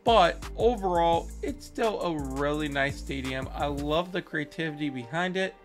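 A man's voice: on the high side, a little slow, faint music behind. A young man in his twenties giving casual, upbeat commentary as he tours custom stadiums in a video game, recorded at a desk. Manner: upbeat, enthusiastic, praising. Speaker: gaming video creator. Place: small indoor room, webcam corner.

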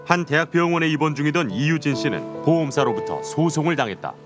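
A man's voice: fairly high pitch, lively intonation, fast talker, over music. A man reading scripted narration for an investigative programme as its narrator.

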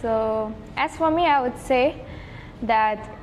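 A woman's voice: a little high, takes it slowly, long reverb tail, a little hiss or hum. A young woman from Nepal, a student guest, chatting casually on a podcast in a café with many filler words. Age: young adult.

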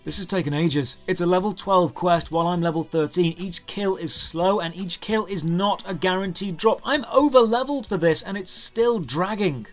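A man's voice: high voice, normal speed, few pauses, faint music behind. A man narrating a scripted comedic game review with a sarcastic, exasperated manner.

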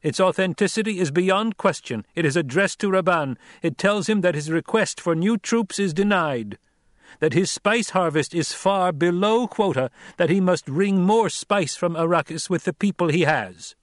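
A man voicing a young male desert leader who speaks forcefully and questions his listeners rhetorically, in an audiobook. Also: high voice, moderate pace, dry recording, studio quality, pitched above the performer's own voice, natural voice. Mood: commanding. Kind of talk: audiobook character voice.

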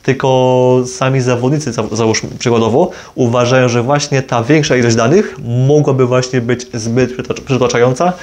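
A man's voice: medium pitch, fairly even intonation, moderate pace, hardly any pauses, a little mellow, a little hiss or hum. A man giving an informal explainer to camera, rephrasing and correcting himself as he goes. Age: 20s. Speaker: motorsport video creator. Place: small plain room indoors. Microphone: lavalier clipped on jacket.

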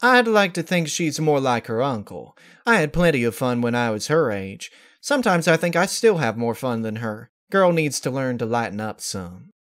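A man's voice: mid-range voice, big pitch swings, normal speed, hardly any pauses, a bit bright. A man voicing an easygoing, stubborn middle-aged country mother pony in a story reading, folksy and plain-spoken. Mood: good-humored. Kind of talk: dramatized fan-fiction reading.

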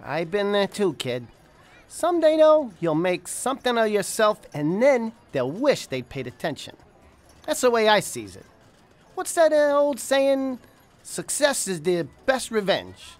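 A woman's voice: mid-range voice, sing-song, measured pace, a little mellow. A woman playing a breezy, streetwise businessman in a 1920s-style radio drama, slangy and wisecracking, friendly but guarded.

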